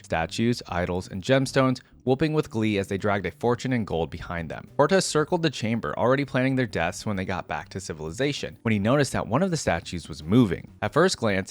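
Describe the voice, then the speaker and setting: Male, fairly low voice, reaction host, expressive lore reading, casual informal commentary.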